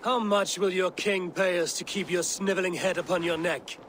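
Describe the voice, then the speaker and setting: An actor playing a hard Viking war leader in a video game, taunting and commanding. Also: male voice, high-pitched, fairly even intonation, moderate pace. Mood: cold taunting. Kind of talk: dramatic video game acting. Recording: very loud battle noise behind.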